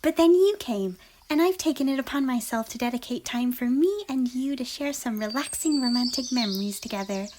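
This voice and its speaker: A woman's voice: high-pitched, brisk, hardly any pauses, close to an everyday voice. A woman voicing a bashful, giddy, affectionate young unicorn pony in an ASMR fan fiction story. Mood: flustered affection. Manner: giggly, excitable, dropping into hushed muttering. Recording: clearly noisy, birdsong behind. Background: birdsong and outdoor ambience.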